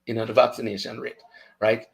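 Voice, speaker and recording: A man's voice: medium pitch, little pitch movement, brisk, creaky. A man with a Jamaican accent hosting a chat show, talking casually, slipping into patois and laughing often. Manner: chatty, mixes patois into speech, laughs often. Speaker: radio show host.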